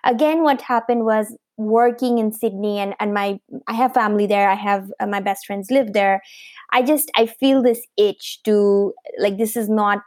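A woman in her thirties, from Bangladesh, answers an interviewer's questions about her work. She talks in a hesitant way, full of fillers and restarts. Her voice is of middle pitch and sounds dry and close. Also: normal speed, a little mellow, dry recording. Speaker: guest, fashion startup founder.

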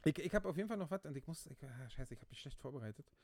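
A man's voice: a little high, animated, racing, darkish tone, no echo. A man co-hosting a casual podcast, rambling with lots of fillers and restarts and getting worked up as he talks. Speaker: podcast co-host. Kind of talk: casual chat.

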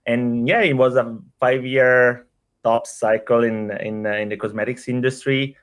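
A man's voice: mid-range voice, steady tone, unhurried, clear, resonant tone. A man in his thirties from Italy with an Italian accent, talking through his career as an interview guest with many fillers and restarts. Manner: hesitant, frequent fillers and repeated words. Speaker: startup executive guest. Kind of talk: casual interview conversation.

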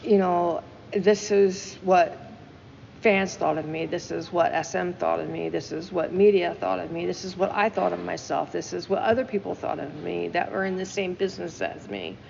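A woman's voice: fairly low pitch, moderate pace, creaky, darkish tone, in a large hall, muffled recording, slight background noise. A woman co-hosting a casual talk show, discussing music emphatically and repeating phrases for stress. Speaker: podcast co-host. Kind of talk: casual discussion of song lyrics.

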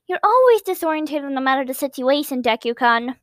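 A fiery young woman, tearful and indignant, pushing hard on every complaint, voiced by a woman reading a story. The voice is high and sits dry and close to the mic. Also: animated, a little fast, no room sound. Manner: indignant, emotional.

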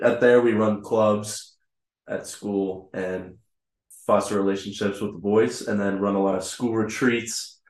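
A young man talks in a relaxed, halting way in a low voice that barely rises or falls. He is recorded in an echoey room. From Kentucky, USA. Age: young adult. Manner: relaxed, hesitant with fillers. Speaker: lay missionary. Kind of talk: casual chat.

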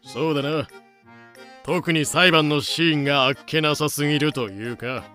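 A man plays a sly passing journalist in an audio drama. He has a bright, fairly high voice and talks in a casual, probing way over faint music. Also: steady tone, moderate pace. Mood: breezy. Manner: casual, probing, rough masculine speech. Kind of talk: Japanese audio drama acting.

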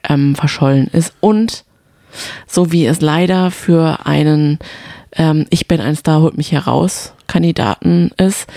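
A woman with a mellow, gravelly voice chats casually as a podcast co-host. She speaks somewhat hesitantly, piecing things together as she goes, and the recording is close and dry. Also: deep voice, dry recording. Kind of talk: casual chat about reality TV.

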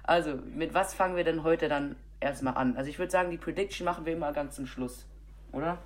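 A woman's voice: low voice, fast talker. A woman with a cold, co-hosting a podcast and chatting casually about football with many fillers and restarts. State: has a cold. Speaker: podcast co-host.

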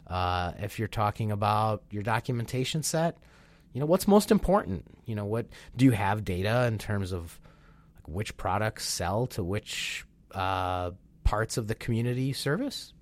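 A man co-hosting a business webinar, slightly nasal and congested from a sinus infection, talking in an animated, enthusiastic way with lots of "you know"s. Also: on the low side, moderate pace. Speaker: webinar co-host. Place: Chicago.

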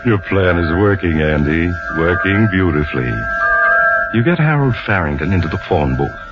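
A man's voice: sits low, lively intonation, moderate pace. A man playing a sinister, knowing storyteller, narrating old-time radio suspense with dramatic, insinuating emphasis. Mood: ominous, knowing. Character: sinister, knowing male storyteller addressing the culprit. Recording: muffled old radio recording. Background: loud dramatic music.

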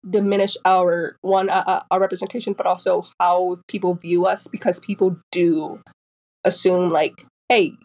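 A woman's voice: mid-range voice, lo-fi sound. A woman in her twenties who stutters, with repeated sounds and restarts, chatting casually as a guest author and acting out the people in her stories. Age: 20s. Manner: animated storytelling, acting out quoted people. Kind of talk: casual interview chat.

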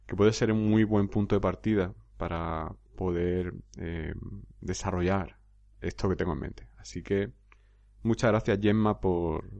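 A man's voice: deep voice, steady tone, unhurried, a little mellow, no echo, muffled recording. A man hosting a podcast, answering a listener bluntly and emphatically, often repeating his points. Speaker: podcast host and coach. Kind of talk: podcast commentary.

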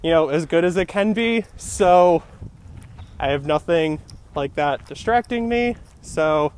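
A young man co-hosting a casual podcast, his voice sitting a little higher than most men's. He rambles loosely with plenty of fillers and breaks into laughter often. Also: lively intonation, measured pace, darkish tone. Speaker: podcast co-host. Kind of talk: casual chat.

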